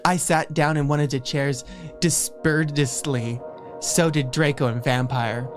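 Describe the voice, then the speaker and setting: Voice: medium pitch, steady tone, measured pace. A livestream host doing a comedic dramatic reading aloud, stumbling over words in a giggly way. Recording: music behind the voice. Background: music.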